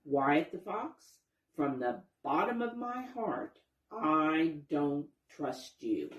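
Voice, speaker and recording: Woman as wary mother wolf, slow, echoey. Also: deep voice, sing-song, dull audio, full character voice. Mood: stern warning. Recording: roomy, echoey sound.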